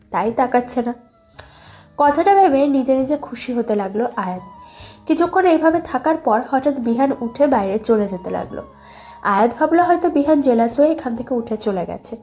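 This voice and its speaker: A woman's voice: high-pitched, a little mellow. A young woman reading scripted narration for a dramatized love story. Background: background music.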